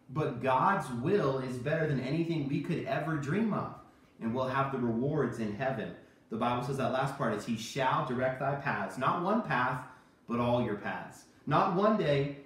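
A man in his thirties giving children an emphatic, repetitive Bible lesson in a kitchen. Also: medium pitch. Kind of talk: kids' sermon with a puppet sidekick. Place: home kitchen, indoors. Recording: very echoey room, distant microphone.

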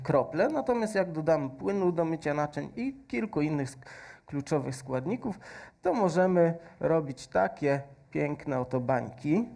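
A man in his thirties doing a stage science demonstration, explaining slowly with many fillers and adding a faith message, on a headset mic. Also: fairly high pitch, lively intonation, vocal fry, warm tone. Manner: slow, patient explaining with frequent fillers. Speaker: science presenter. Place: large stage with audience hall.

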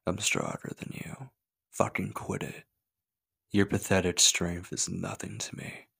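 Man acting a husky, creaky, obsessive stalker lover. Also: low-pitched, sing-song, pushed and bright, heavily acted. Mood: menacing, forceful. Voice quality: husky, breathy. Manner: slow, halting, slipping between breathy whispers and urgent pleading. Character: obsessive, possessive male stalker lover, menacing and clingy. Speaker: voice actor. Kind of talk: ASMR horror roleplay.

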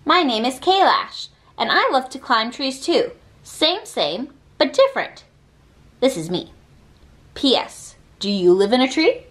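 A woman reading a children's picture book aloud with expressive, deliberate phrasing. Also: high-pitched. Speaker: read-aloud reader.

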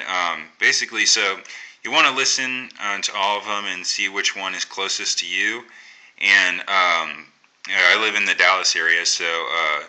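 An American man giving a casual, unscripted radio tutorial in a halting, think-aloud way. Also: deep voice, sharp tone, low-quality recording. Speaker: amateur radio hobbyist presenting a tutorial. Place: indoors at a table.

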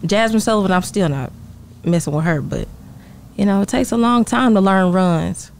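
A young woman answers in a casual interview style. Her voice sits at a medium pitch, recorded close on a podcast mic. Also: moderate pace, creaky, darkish tone, a little hiss or hum. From Marshall, Texas, USA. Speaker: R&B singer, interview guest. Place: small indoor podcast studio. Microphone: close podcast mic on stand.

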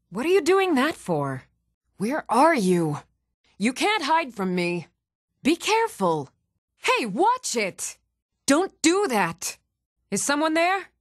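A measured, expressive fantasy woman. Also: high-pitched, no room sound, studio quality, exaggerated voice. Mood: alarmed. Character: even-toned young adult woman of a fantasy world, steady and stern. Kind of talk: fantasy video game voice lines.

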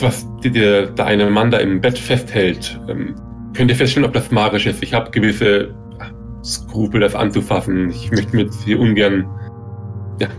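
Low-voiced man role-playing, halting delivery. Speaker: tabletop game player. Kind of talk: in-character role-play. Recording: guitar music behind the voice. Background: guitar music.

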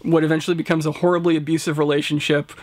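A middle-aged man co-hosting a casual top-five discussion, explaining his picks in a halting, conversational way. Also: fairly high pitch, little pitch movement, slight background noise. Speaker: co-host of a film and TV review show. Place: indoor room lined with shelves of books and discs.

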